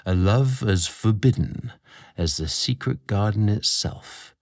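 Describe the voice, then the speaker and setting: A British man narrating a scripted story, theatrical and deliberate in his phrasing, drawing out words as a podcast host. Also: fairly low pitch, animated, darkish tone, no room sound, clean studio sound.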